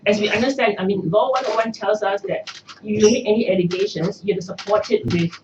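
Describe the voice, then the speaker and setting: A middle-aged woman, a native Cantonese speaker, answering press questions as a party candidate in a measured way with hesitations. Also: medium pitch. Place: party office press room. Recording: echoey room, far mic, dull noisy.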